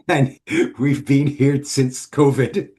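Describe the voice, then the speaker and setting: Guest: middle-aged man from Switzerland, measured, lists points, mid pitch. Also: dark tone. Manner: measured, explanatory, listing points. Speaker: guest, author. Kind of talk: casual interview.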